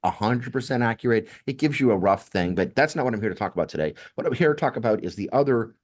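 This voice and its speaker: A man's voice: mid-range voice, expressive, fast talker. An American man co-hosting a casual tech podcast, explaining things in a conversational way. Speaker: podcast co-host. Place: home or work office.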